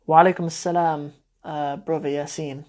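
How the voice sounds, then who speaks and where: A man's voice: a little high, flat delivery, normal speed. Chatty young man on a phone livestream. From Cornwall, England. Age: 20s. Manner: chatty and meandering, often trailing off softly. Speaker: Arabic teacher hosting a live Q&A. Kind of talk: casual livestream chat. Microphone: phone mic.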